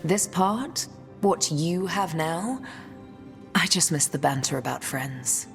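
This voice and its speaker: An actress playing a grave, weary noblewoman leader in a fantasy video game, speaking in a measured, solemn way. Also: deep voice, lively intonation, gravelly, heavily acted. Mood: grave, reflective. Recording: long reverb, music behind.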